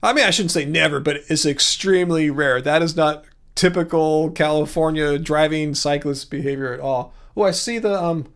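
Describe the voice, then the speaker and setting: Man, livestream host, casual rambling chat, gravelly, a little high-pitched, home studio mic. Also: normal speed, fairly bright tone. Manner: rambling, with frequent restarts and chuckles.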